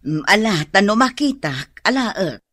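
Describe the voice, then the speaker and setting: A woman's voice: mid-range voice, lively intonation, normal speed, a bit bright, no echo. A woman with an Ilocano accent playing an easygoing, sociable mother in a radio drama. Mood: obliging.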